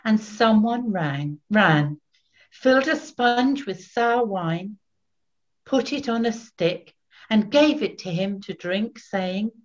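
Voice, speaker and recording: Slow, solemn older woman reading. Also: medium pitch, lively intonation. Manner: measured, deliberate. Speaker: scripture reader, narrator. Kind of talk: solemn scripted Bible reading. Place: small room at home. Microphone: video call, computer at arm's length. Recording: dull webcam video call audio.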